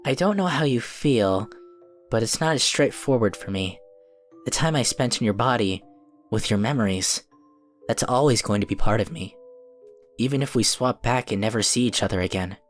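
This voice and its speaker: A calm cookie wizard from a cartoon fan drama, acted by a man, speaks with formal, measured care. He sounds earnest and diplomatic, in a medium-pitched voice over faint music. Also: lightly acted. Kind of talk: scripted fan audio drama acting.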